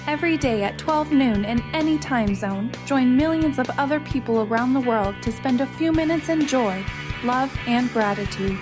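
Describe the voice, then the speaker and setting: A woman's voice: high voice, normal speed. A woman announcer reading an inspirational radio promo in an upbeat way. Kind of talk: scripted promo read. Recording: voice over clear music bed. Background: music bed.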